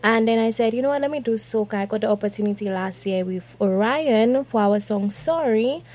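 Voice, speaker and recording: A young woman with a soft, somewhat high voice talks cheerfully about her singing as a guest in the radio studio. She laughs easily and keeps her voice gentle. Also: a little fast. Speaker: singer and guest. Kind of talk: radio interview.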